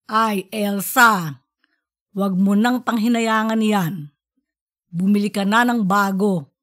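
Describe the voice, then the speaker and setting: A woman's voice: mid-range voice, fairly even intonation, takes it slowly, fairly bright tone. A woman voicing an easygoing, teasing father in a colloquial audiobook story. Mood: weary, exasperated. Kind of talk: audiobook character dialogue.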